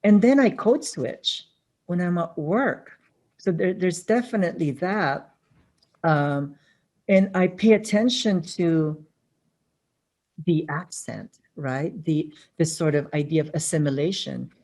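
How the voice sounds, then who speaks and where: A webinar presenter with a Filipino accent, talking in an earnest, reflective way and often checking in with "right?". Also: male voice, a little high, takes it slowly, darkish tone. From Filipino-American family background. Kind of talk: conversational webinar talk.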